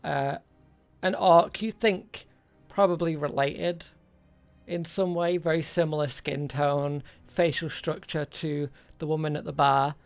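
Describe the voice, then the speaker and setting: Slow, creaky-voiced male game master. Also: fairly high pitch, steady tone, soft background music. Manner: hesitant, thinking aloud. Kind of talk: improvised tabletop game narration.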